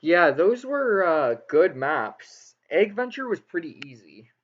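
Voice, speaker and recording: Higher-pitched man, casual interviewer, lo-fi audio. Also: big pitch swings, slow. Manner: rambling, informal, slangy. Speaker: interviewer, gaming channel host. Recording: dull lo-fi voice recording.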